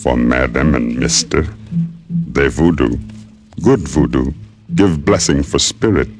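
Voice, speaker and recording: Very deep-voiced giant servant. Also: male voice, a little slow. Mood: solemn, wary. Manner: terse broken English, blunt simple phrases. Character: giant Haitian male servant, loyal, simple, solemn. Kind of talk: 1940s-50s radio drama acting. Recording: old radio recording, faint music behind.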